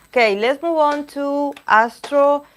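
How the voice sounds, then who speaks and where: Tarot reader, woman, slow unhurried delivery, voice going hoarse, halting as she searches for words mid-reading. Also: high-pitched, a little hiss or hum. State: losing her voice. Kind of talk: live-stream tarot reading, chatting with viewers.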